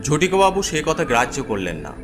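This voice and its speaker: A man's voice: a little high, wildly swooping pitch, a little fast. A man narrating a scripted horror story in a dramatic storytelling style. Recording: music under the voice.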